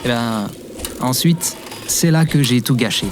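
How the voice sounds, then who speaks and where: A man's voice: medium pitch, measured pace, heavy background noise, close to an everyday voice. A man playing a devoted, self-deprecating young man who tells his story in a fiction podcast. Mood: wry, nostalgic. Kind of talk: fiction podcast acting with narration.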